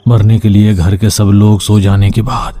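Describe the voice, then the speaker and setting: A man's voice: lowish voice, level tone, normal speed, warm tone. A man reading scripted story narration for a cartoon tale.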